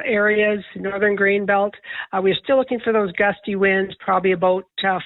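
Woman forecaster on a tinny phone line. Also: medium pitch. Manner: casual, with frequent "uh" fillers. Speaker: meteorologist. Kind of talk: interview clip.

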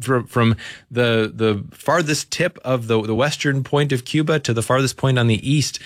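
A man who leads a ministry and hosts the conversation, speaking slowly and with measured, filler-laden phrasing. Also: mid-range voice, no room sound, clean studio sound. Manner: slow, measured, many uh fillers. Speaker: ministry leader and host.

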